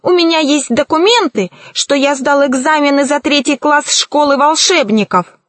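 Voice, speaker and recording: A woman's voice: sits high, normal speed, no room sound, pitched above the performer's own voice, close to an everyday voice. A woman voicing a cowardly, pompous male sorcerer, plaintive and trembling, in a children's storybook. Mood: offended. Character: whiny, cowardly, easily offended male sorcerer.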